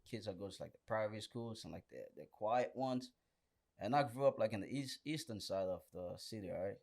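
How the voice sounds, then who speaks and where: A man telling stories in a casual, animated way with lots of friendly slang, as a podcast guest. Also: lowish voice, fairly quick, creaky. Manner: animated, slangy, punctuates with "bruh". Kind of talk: casual storytelling chat, slangy.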